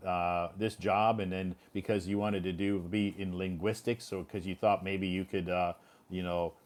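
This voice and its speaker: A man's voice: sits low, darkish tone. A middle-aged man hosting a casual interview, chatty and rambling with frequent restarts. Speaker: host.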